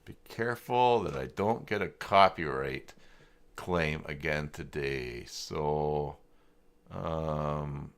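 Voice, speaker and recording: Male live-stream tutorial host: unhurried, creaky low register, casual and tentative, talks himself through the screen. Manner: hesitant, muttering to himself while searching. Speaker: live stream host demonstrating website software. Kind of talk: casual screen-share tutorial, thinking aloud.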